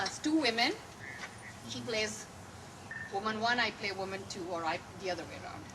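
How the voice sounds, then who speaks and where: A woman's voice: medium pitch, lively intonation, moderate pace. A woman performing a comedic dramatic reading, theatrical and gushing. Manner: theatrical, gushing, sing-song delivery. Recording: noisy live room recording.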